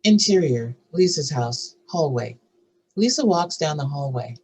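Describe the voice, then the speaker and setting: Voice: medium pitch, lively intonation, a little slow, a little mellow, slightly rough. A narrator reading screenplay stage directions and scene headings aloud at a scripted table read.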